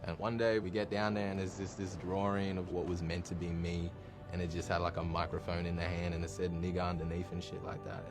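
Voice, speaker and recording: A man's voice: low-pitched, level tone, fairly quick. A young man, a hip hop artist, talking bluntly and with heavy slang in an interview. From Australia. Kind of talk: candid interview. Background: music playing.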